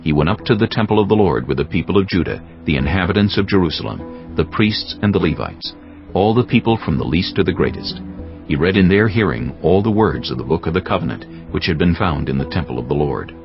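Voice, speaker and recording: A man narrating dramatized scripture in a solemn, deliberate, scripted style. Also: deep voice, animated. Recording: slightly dull audio with music bed.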